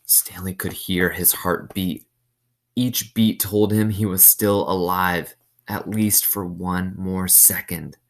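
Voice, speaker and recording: A man's voice: sits low, fairly even intonation, slow pace. A man reading a novel aloud with suspense, explaining it in casual asides.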